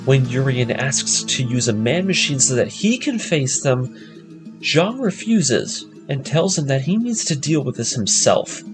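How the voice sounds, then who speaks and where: A man's voice: mid-range voice, sing-song, unhurried, music in the background. A man narrating an episode-by-episode story breakdown as host, moving between scripted recap and casual commentary.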